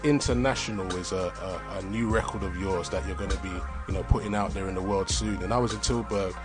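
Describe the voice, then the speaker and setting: A man's voice: sits low, quick pace, music in the background. A man hosting a casual radio interview in an upbeat, repetitive way. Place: radio studio.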